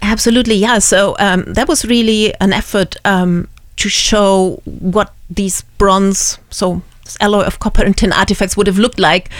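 A woman's voice: on the low side, no room sound, clean studio sound. A woman with a German accent, a museum curator who answers interview questions thoughtfully, with many ums and restarts.

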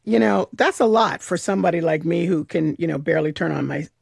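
A woman's voice: fairly low pitch, a little fast, vocal fry, husky, no room sound, studio quality. A woman hosting a public radio interview, asking probing questions in a conversational way with chatty asides. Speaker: radio host.

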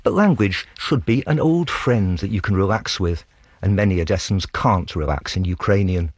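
A man with a very low, husky voice narrates a scripted dispatch as a foreign correspondent. His delivery is measured and literary, like polished radio storytelling. The sound is close and dry. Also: no echo.

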